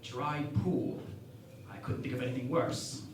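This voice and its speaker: An actor playing a vain, cocky, clueless starship captain in a sci-fi parody radio play, with a grandiose, self-assured delivery. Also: male voice, medium pitch, a little slow, lightly acted. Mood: breezy, confident. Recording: echoey room, distant mic, faint noise.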